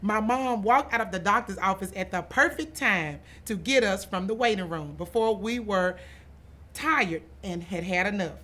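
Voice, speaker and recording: A woman's voice: mid-range voice, normal speed, sharp tone. Woman reading a children's story aloud expressively. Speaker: author and school administrator reading aloud. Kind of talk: expressive children's picture book read-aloud.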